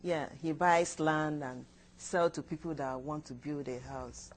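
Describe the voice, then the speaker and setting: A Nigerian woman whose first language is Igbo, answering interview questions haltingly, with restarts and short yes answers. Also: sits low, steady tone. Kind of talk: recorded counseling interview.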